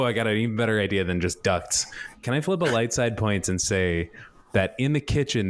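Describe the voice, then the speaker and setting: A man's voice: fairly low pitch, no echo. A man improvising casually as a player in a tabletop roleplaying game, playful and mock-grumbling.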